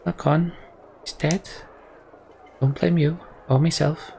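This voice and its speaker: A man's voice: on the high side, fairly even intonation, takes it slowly, gravelly, warm tone, a little hiss or hum, pitched above the performer's own voice. A man voicing a grieving, defeated mother in a fantasy game, resigned and bitter. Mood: bitter grief.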